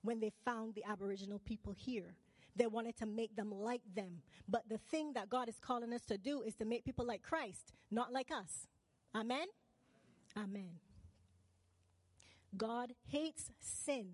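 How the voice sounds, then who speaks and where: A woman's voice: on the high side, a little slow, a little mellow, no room sound, big-room sound. A woman from Barbados preaching a sermon. She keeps asking the congregation questions and calls for "amen" and "right?".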